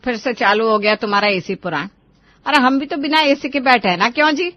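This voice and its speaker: A stingy older mother-in-law from a family cartoon, acted by a woman. Her voice sits fairly high and she scolds in a bossy, dismissive way. The recording is close and dry, a little dull. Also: brisk, no echo, natural voice. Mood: annoyed, scolding. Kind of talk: Hindi cartoon story voice acting.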